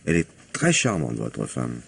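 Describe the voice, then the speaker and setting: An actor playing a probing, courteous police commissioner in a French radio mystery drama. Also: male voice, very deep voice, huge pitch swings, normal speed, vocal fry, slight background noise, full character voice. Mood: politely insistent.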